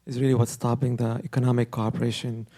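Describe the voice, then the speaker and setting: A man's voice: medium pitch, flat delivery, fast talker, dark tone. A man from Yemen, an audience member asking a polite, direct question at a panel.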